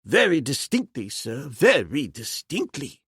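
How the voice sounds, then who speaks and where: A man reading an audiobook, voicing an excitable, prim older scholar with old-fashioned exclamations. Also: medium pitch, unhurried, gravelly, breathy edge, no echo, clean studio sound, pitched above the performer's own voice, exaggerated voice. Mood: wary. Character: excitable older male scholar, fussy and wondering. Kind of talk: audiobook character voice.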